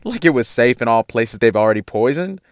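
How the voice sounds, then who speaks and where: A man's voice: mid-range voice, animated, a little fast, dry recording, lightly acted. Radio drama: male actor as argumentative teen rebel, sarcastic, medium register, close dry recording. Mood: defiant, accusing. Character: defiant eighteen-year-old male activist, sardonic younger brother.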